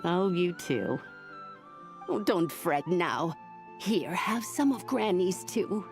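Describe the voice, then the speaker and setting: A woman voicing a kindly, doting old village granny in a video game, with a gentle, soothing, unhurried manner. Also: fairly low pitch, expressive, over music. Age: older. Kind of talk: scripted video game dialogue.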